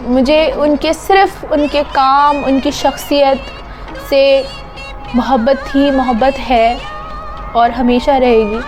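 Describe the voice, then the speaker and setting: A sorrowful woman giving a heartfelt spoken tribute, halting as she searches for words and slipping in English phrases. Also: high-pitched, little pitch movement, over music. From Pakistan. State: grieving, sorrowful. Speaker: singer paying tribute.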